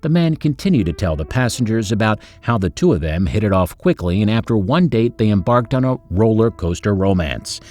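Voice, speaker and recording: A man's voice: lowish voice, animated, a little fast, few pauses, a little mellow. A man hosting a story channel, reading scripted narration in an upbeat, suspenseful storyteller manner.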